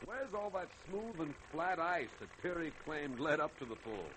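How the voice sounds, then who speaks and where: Jaunty, animated old-radio aviator. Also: male voice, a little high, moderate pace. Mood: excited. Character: jaunty, plain-spoken American polar aviator. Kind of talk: 1930s-40s radio drama acting. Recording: noisy old radio recording.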